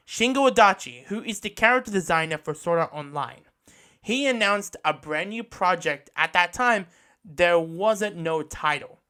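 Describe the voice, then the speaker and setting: Bright-voiced man hosting a podcast. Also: high-pitched. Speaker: podcast host. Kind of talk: casual podcast monologue, reviewing anime.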